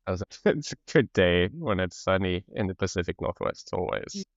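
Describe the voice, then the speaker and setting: A man's voice: low-pitched, big pitch swings, normal speed, gravelly, no echo, lo-fi sound. Easygoing man, French and South African accent. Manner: easygoing, laughs often, many "like" fillers. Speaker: podcast guest. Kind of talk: casual conversational interview.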